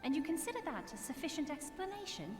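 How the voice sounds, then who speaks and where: A woman's voice: sits high, big pitch swings, quick pace. An actress playing an earnest, resolute, proud young noblewoman with formal, archaic diction in a fantasy video game. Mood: accusing. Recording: echoey game audio under loud music.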